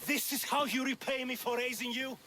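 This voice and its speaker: A man's voice: very high voice, fairly even intonation, fairly quick, pushed and bright. A man playing an aggrieved middle-aged nobleman and father in a video game, indignant and accusing. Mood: outraged.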